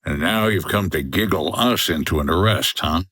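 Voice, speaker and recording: Actor as a low-voiced hardboiled American adventurer. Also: male voice, moderate pace, fairly bright tone, no room sound. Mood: wry. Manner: dry, hardboiled. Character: gruff, wry 1950s American hotel-owner adventurer. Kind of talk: old-time radio detective acting.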